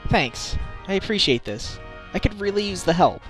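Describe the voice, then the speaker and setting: A man's voice: sits high, animated, moderate pace, fairly bright tone, soft background music. A man voicing an earnest, determined defense lawyer in a game fandub, in a conversational manner. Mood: grateful. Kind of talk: scripted game fandub acting.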